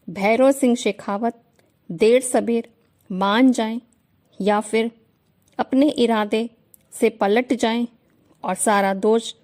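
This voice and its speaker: Mellow-voiced woman reading slow dictation. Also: on the high side. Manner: measured, deliberate phrasing with pauses between word groups; firm, earnest, mildly aggrieved. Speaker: shorthand dictation teacher. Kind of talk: casual direct address to students.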